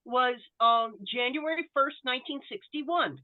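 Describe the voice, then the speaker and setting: A woman's voice: high-pitched, a little slow. A woman panelist on a podcast, casually reporting details she has looked up, over a thin lo-fi connection. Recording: muffled, dull lo-fi connection.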